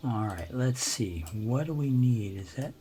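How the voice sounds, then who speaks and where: An older man with a naturally low voice, hosting a gameplay video and musing to himself in unhurried, relaxed commentary as he builds. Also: background noise. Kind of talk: relaxed let's-play commentary.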